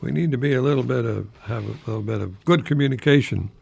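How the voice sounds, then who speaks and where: A man's voice: mid-range voice, fast talker, vocal fry, a little mellow, husky. An older American man chatting like a professor on a radio talk, posing rhetorical questions as he goes. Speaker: radio host, retired college professor. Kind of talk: informal radio talk with verse recitation.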